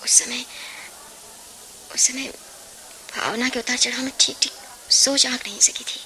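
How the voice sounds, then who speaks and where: An actress in a radio play voicing an anguished, self-sacrificing young woman in love, with an earnest, breathy, emotional delivery. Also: sits high, fairly even intonation, unhurried, bright, forceful tone, a little hiss or hum, exaggerated voice. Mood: troubled, regretful. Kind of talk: Hindi radio play acting.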